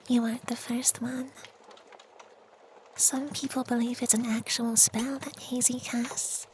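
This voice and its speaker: Someone doing a soothing femboy vampire for asmr, breathy half whisper, really even and unhurried. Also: mid-range voice, slight background noise, close to an everyday voice, rough recording. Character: gentle femboy vampire who senses emotions, soothing, wistful. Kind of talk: ASMR roleplay.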